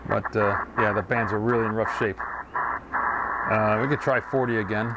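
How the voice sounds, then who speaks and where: An older man talks through a radio review in a relaxed, unhurried way. He thinks out loud, trails off and corrects himself now and then. Clear radio hiss and static sit under his lapel-miked voice. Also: fairly low pitch. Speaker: ham radio hobbyist reviewing a radio. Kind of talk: casual hands-on review. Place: home shack, then screened porch. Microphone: lapel mic. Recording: radio static and noise behind.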